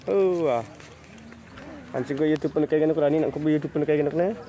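A man's voice: on the high side, brisk, warm tone. Chatty man vlogging outdoors on a phone. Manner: chatty, greets people as he walks. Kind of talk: casual walking vlog. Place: outdoors at a rural fishing pond fair. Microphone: handheld phone camera.

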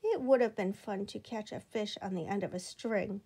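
A woman reading a children's picture book aloud at home, slow and expressive, with some lines dropped to a whisper. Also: medium pitch, husky. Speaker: storybook reader. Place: home, indoors.

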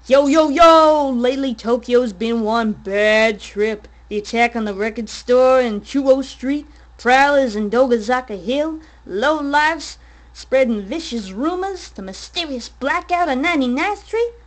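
A woman voicing a brash, slangy, swaggering DJ character from a game, loud and hyped up. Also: sits high, lively intonation, a little slow.

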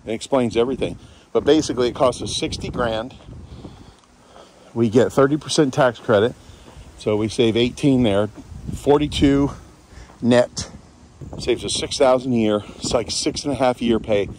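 A hoarse man from Kentucky, a real estate agent chatting casually as he walks a property tour outdoors, trailing off with fillers. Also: on the low side. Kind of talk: casual walk-through chat. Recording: outdoor noise with a passing vehicle.

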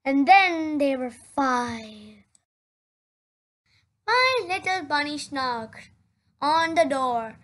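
High-pitched, slow young storyteller, bright and animated. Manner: exclaims sound words dramatically. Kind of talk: read-aloud picture book narration.

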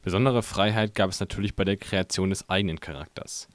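A man's voice: low voice, fast, dry recording. A man reading scripted narration for a news report as its reporter.

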